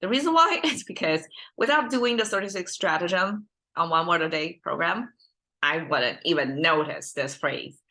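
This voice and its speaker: A woman, a Mandarin speaker, hosting a language lesson in casual, thoughtful speech, pausing often with fillers and restarts. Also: fairly low pitch, expressive, pushed and bright. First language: Mandarin Chinese. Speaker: host and language teacher. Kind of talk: casual teaching monologue.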